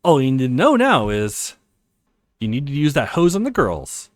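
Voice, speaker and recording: A man's voice: medium pitch, wildly swooping pitch, normal speed, no room sound. A man voicing a cool, teasing young woman who dismissively helps run a contest in a visual novel game. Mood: taunting.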